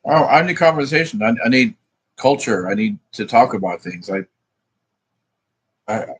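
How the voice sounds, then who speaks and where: A man's voice: mid-range voice, lively intonation, moderate pace, husky. A man rambling in a profane, meandering monologue, thinking aloud as he works through sums. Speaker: vlogger.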